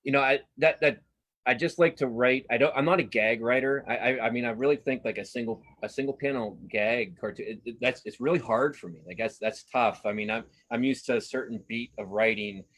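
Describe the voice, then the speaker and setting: Middle-aged male, mid-pitched, casual interview guest. Earnest, halting delivery with frequent self-corrections. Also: fairly bright tone. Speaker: cartoonist being interviewed.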